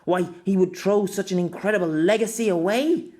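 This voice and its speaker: A man's voice: sits high, moderate pace, a little mellow, voice pushed up, natural voice. A man voicing a stern, authoritative female doctor with a formal, impatient manner in a story. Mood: grave, insistent.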